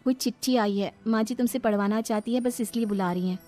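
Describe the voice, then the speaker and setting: A woman's voice: a little high, fast, darkish tone, soft background music, natural voice. A woman voicing a sweet, naive young village daughter-in-law in a cartoon story, speaking politely and earnestly. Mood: mildly protesting.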